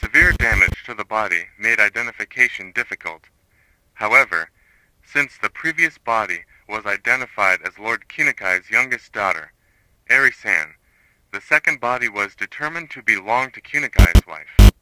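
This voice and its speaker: A man playing a grim retired investigator, reciting a horror case report in a flat, formal manner. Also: fairly low pitch, no room sound, rough recording. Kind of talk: scripted narration, analog horror case report.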